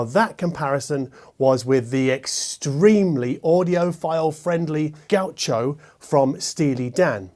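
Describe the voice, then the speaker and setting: A man hosting a scripted hi-fi product review, speaking in a measured way with wry asides. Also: fairly high pitch, a little mellow. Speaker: host and hi-fi reviewer.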